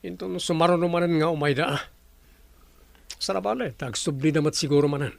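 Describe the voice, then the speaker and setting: A man's voice: fairly high pitch, a little fast, gravelly, dry recording. An actor playing a polite male resort owner who greets guests courteously.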